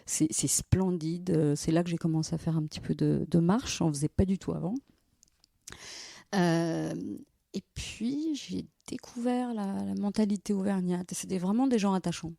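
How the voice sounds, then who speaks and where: A woman in her forties from Brussels, a lawyer telling stories as a podcast guest, lively and laughing often. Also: on the low side, moderate pace, creaky, dry recording, studio quality. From Belgium, Brussels. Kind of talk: casual storytelling interview.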